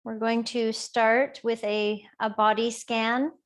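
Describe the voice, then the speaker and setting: A woman teacher gently guiding a body scan meditation in an unhurried, soothing way with long pauses. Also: fairly high pitch, flat delivery. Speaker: yoga and body awareness teacher.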